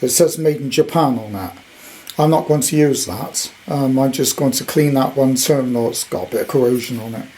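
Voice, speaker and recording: A man's voice: mid-range voice, brisk, dark tone, a little hiss or hum. A British man in his sixties giving a casual, rambling, unscripted show-and-tell as a hobby collector. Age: 60s. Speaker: radio collector presenting his item.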